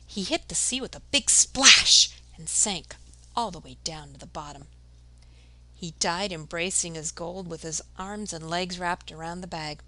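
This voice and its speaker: A woman storyteller giving a dramatic, expressive scripted narration of a folktale. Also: on the low side.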